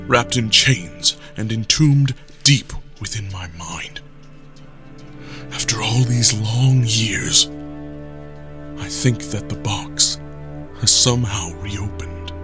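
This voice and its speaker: Troubled brooding dude doing an inner monologue, slow, somber, music behind. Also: male voice, on the low side. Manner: somber, introspective. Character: troubled, brooding man confessing inner struggle. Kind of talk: scripted first-person monologue.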